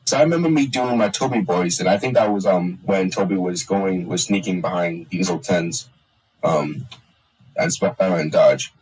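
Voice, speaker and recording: Halting young man, husky, off-mic. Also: sits low, darkish tone, recorded from a distance, poor audio. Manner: halting, many restarts and fillers. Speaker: streamer and hobby voice actor. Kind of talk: casual chat on a live stream.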